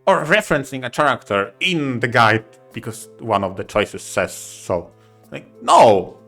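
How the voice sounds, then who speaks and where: Non guy on a gaming podcast, bright sharp voice, emphatic, bit of music behind. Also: mid-range voice, big pitch swings, measured pace, vocal fry. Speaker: podcast co-host. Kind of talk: casual podcast discussion of video games.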